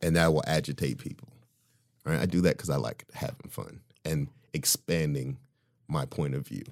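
A man with a very low, deep voice, holding forth in a loose podcast conversation. He talks with emphasis and often breaks into laughter. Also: normal speed, gravelly. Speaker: podcast co-host. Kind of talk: casual chat.

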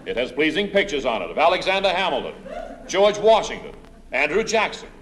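A man playing an earnest, emphatic government spokesman in a deadpan comic public service announcement. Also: brisk. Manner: earnest, emphatic sales pitch. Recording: noisy live recording with clicks.

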